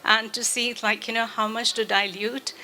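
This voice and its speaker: Female author on a festival panel, thoughtful, halting delivery, bright tone. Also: on the high side, little pitch movement, slight background noise. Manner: reflective, many fillers.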